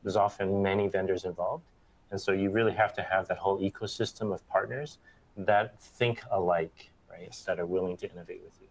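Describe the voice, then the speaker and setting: A man's voice: low-pitched, fairly even intonation, a little fast, poor audio. A middle-aged man on a panel in a factory studio, explaining steadily and often ending points with "right", on a lapel mic. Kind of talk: panel discussion. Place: bright open factory studio set.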